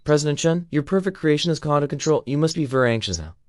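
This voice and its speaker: Defiant male hero, played by the narrator. Forced calm, taunting, brisk, dry storybook dialogue. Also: fairly high pitch, dry recording, pitched above the performer's own voice, close to an everyday voice. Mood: cold taunting.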